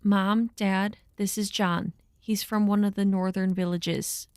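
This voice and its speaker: A woman's voice: medium pitch, fairly even intonation, unhurried, a little mellow, no echo, close to an everyday voice. Woman playing a mischievous young villager, dry close sound. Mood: hesitant, covering up. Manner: playful, conspiratorial. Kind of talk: acted audio drama dialogue.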